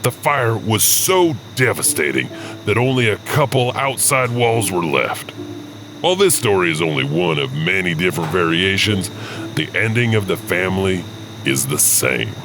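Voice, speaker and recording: Casting: male host for urban legend narration, very low register, theatrical and spooky, clear background hum on the recording. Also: sing-song, moderate pace. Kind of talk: scripted spooky storytelling narration.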